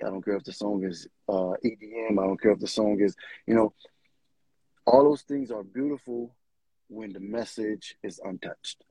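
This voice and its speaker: A man's voice: on the low side, dark tone, husky, dry recording, low-quality recording. A man from Israel, a singer-songwriter guest, talking earnestly about his music in casual interview chat. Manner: earnest, loose and repetitive phrasing.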